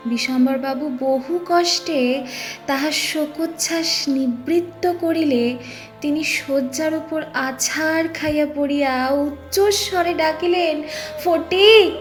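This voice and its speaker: High-pitched woman narrating slowly over music. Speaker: audio story narrator. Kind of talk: dramatic literary story narration.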